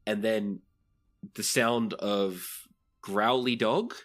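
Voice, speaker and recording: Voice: medium pitch, steady tone, drawn-out words. A game master with an easygoing, conversational manner, narrating a tabletop roleplaying game.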